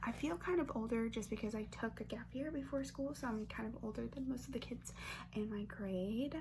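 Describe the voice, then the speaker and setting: A woman in her twenties from Brazil, whispering an ASMR question-and-answer chat close to the mic and softly repeating words over and over as triggers. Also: a little high, fairly quick. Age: 20s. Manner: chatty, filler-heavy, with light laughs. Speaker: ASMR creator. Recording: noisy hum under voice.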